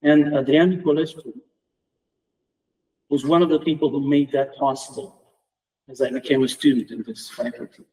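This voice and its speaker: A middle-aged man from Romania with a Romanian accent, a native Romanian speaker giving a reflective memorial tribute as an English department lecturer, measured and halting with restarts. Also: on the high side, fairly even intonation, warm tone, far from the mic, slight background noise, poor audio. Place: lecture room at a memorial round table.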